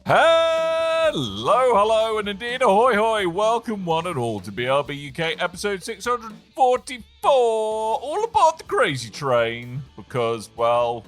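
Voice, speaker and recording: A man's voice: high voice, sing-song, a little slow, hardly any pauses, fairly bright tone, faint music behind. A man with a Birmingham accent hosting a casual podcast, joking and bantering with his co-hosts. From England. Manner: jokey, playful banter. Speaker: podcast host. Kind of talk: casual chat.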